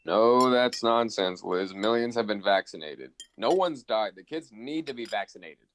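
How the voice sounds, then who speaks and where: A man's voice: expressive, normal speed, a bit bright. A man playing a weary, earnest, exasperated father in a scripted radio play. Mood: pleading, exasperated. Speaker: actor in a college radio play. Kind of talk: scripted radio drama acting. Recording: faint low rumbling noise.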